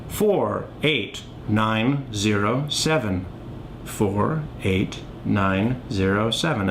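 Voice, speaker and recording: A man's voice: medium pitch, a bit bright, a little hiss or hum. A man giving a casual tutorial, thinking aloud step by step and often checking in as he goes. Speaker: board game designer demonstrating his game.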